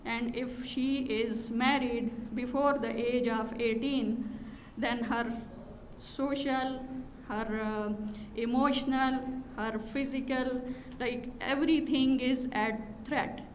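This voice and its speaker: A woman giving a formal conference address from a podium, measured and pausing often with fillers. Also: fairly high pitch, fairly even intonation. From Pakistan. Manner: measured, with frequent pauses and fillers. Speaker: conference speaker from an NGO. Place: hotel conference hall. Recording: large hall reverb, faint noise.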